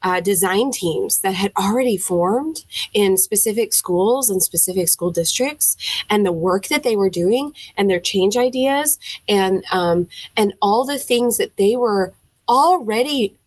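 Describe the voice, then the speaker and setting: A woman's voice: mid-range voice, unhurried, no echo. Southern woman telling her story. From Tennessee. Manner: animated, acts out her own quoted reactions; frequent fillers, thinks aloud. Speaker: music teacher, podcast guest. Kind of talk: casual storytelling interview.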